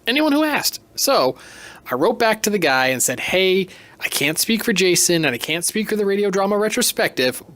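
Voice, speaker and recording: A man's voice: on the high side, a bit bright, dry recording. A man hosting a podcast solo, talking in a casual, chatty, self-deprecating and emphatic way. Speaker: podcast host.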